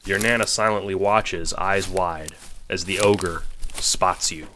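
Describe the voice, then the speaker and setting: A man's voice: deep voice, takes it slowly, pushed and bright, slight background noise. A man narrating a scripted story in the second person, in the style of an audiobook.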